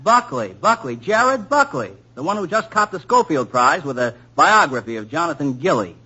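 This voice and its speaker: An actor playing a brash, slangy young male newspaper reporter with a husky voice, in a 1940s radio drama on a muffled old broadcast. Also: a little high, big pitch swings, normal speed, sharp tone, full character voice.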